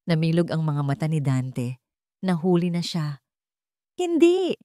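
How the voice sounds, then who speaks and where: Low woman voice reading a dramatic audio story, scripted narration, really dry sound. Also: normal speed, mellow, no room sound.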